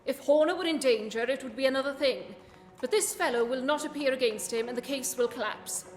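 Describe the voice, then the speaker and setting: A woman playing a composed male Victorian detective in a radio play, speaking in a measured, formal, dryly witty way. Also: high voice, lightly acted. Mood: grave, reflective. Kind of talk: scripted radio play acting. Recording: reverberant hall, faint music behind.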